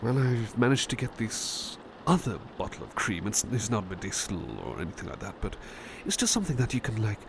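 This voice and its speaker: A man playing a devoted, gentle butler in a soothing ASMR roleplay, hesitant and polite, fussing and repeating himself reassuringly. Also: fairly low pitch, very animated, a little fast, background noise. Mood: attentive, reassuring.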